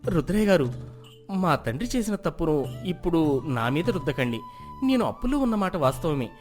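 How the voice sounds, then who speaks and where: A humble farmer under accusation played by a man protests and pleads. The delivery is defensive and lively, in dramatized folk-tale style, with music underneath. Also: a little high, moderate pace. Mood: pleading. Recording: music behind the voice.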